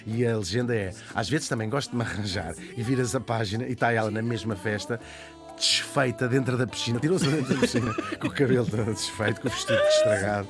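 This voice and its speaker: A Portuguese man talks quickly through a spirited radio biography, laughing and tossing in asides. He sits at a middling pitch for a man. Faint music plays beneath the studio talk. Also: big pitch swings. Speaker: radio columnist. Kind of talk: lively radio biography chat.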